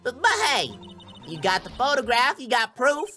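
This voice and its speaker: Man putting on a brash, bright Southern woman witness. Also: extremely high pitch, sing-song, moderate pace, soft background music, pitched above the performer's own voice, exaggerated voice. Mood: flustered. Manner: folksy, indignant, stammering.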